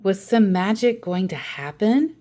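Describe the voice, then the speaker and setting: A woman reading a children's book aloud as a storyteller, with a dramatic, deliberate delivery. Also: medium pitch, lively intonation, dark tone. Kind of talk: expressive children's book narration.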